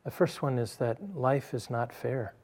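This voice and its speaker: A man speaking as a guest in a religious discussion, deliberate and emphatic, repeating his key phrases. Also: medium pitch, steady tone, a little mellow. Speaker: panel guest.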